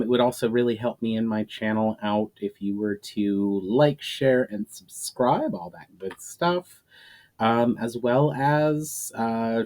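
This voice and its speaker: A man with a fairly low voice reads in a dramatic storytelling style. His phrasing is measured and emphatic, rising at the start of sentences and falling away at their ends. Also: a little mellow. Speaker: writer reading own story to channel viewers. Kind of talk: dramatic scripted narration of a fantasy story.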